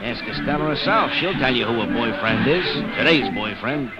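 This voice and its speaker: Actor as animated carnival barker, loud music behind. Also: male voice, medium pitch, moderate pace, muffled recording, lightly acted. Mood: gossipy, admiring. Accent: American. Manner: folksy slangy patter. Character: brash male carnival sideshow barker, gossipy showman. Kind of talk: old-time radio detective drama acting.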